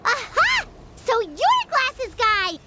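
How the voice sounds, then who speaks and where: An actress voicing a small, feisty companion girl who gets indignant fast. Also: extremely high pitch, highs cut off, heavy background noise, heavily acted. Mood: accusing. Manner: excitable, indignant.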